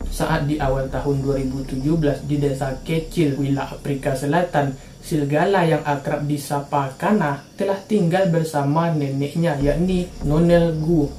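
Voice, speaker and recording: A woman from Indonesia narrates true-crime cases in a relaxed, slangy way. Her voice is mellow and warm at a middling pitch. The recording sits in a roomy, echoey space with a faint hiss underneath. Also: normal speed. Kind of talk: casual true-crime storytelling.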